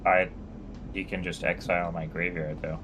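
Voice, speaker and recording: A man with a very low voice, easygoing and thinking out loud as he plays cards. A clear hum of background noise sits under him. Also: moderate pace. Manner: relaxed, thinking aloud about plays. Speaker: card game player. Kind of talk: casual game-table chat.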